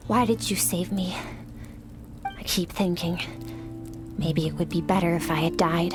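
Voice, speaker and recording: An actress playing a grim, determined young female sword apprentice, speaking in a quiet, restrained and resolute way. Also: lowish voice, lightly acted. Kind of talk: game cutscene voice acting.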